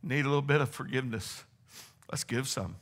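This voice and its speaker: A man's voice: mid-range voice, brisk, a bit bright. A man preaching a sermon as pastor, with fervent exclamations and a voice strained from long preaching. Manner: preaching with rising fervor, exclamations and call-and-response.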